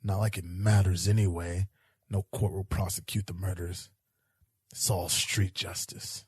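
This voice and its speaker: Man playing a husky, low-voiced hard-boiled detective. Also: little pitch movement, unhurried, a little mellow, dry recording. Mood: weary, cautioning. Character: world-weary hard-boiled male police detective in space. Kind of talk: fan-made sci-fi noir audio drama acting.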